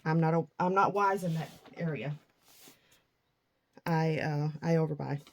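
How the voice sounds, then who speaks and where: Woman, middle-aged, husky morning voice, unhurried, chatty, self-deprecating. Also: low voice. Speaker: scrapbooking vlogger. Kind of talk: casual crafting chat.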